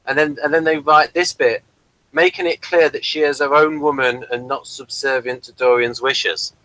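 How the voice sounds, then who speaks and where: Man, bright forceful voice, medium pitch, casual review discussion, co-host. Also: fairly even intonation, moderate pace, no echo, rough recording.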